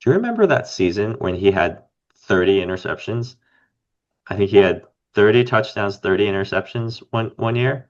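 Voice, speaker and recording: Man, very low voice, raspy from a cold, rambling podcast chat, dull lo-fi sound. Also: lively intonation, normal speed. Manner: rambling, thinking aloud with fillers. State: under the weather, raspy. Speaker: podcast co-host. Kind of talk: casual fantasy game chat.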